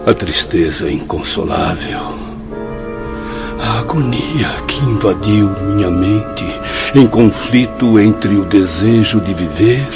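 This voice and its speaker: A man's voice: lowish voice, takes it slowly. An actor playing an anguished, tormented male spirit in a radio drama. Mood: anguished, grave. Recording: muffled audio with music underneath.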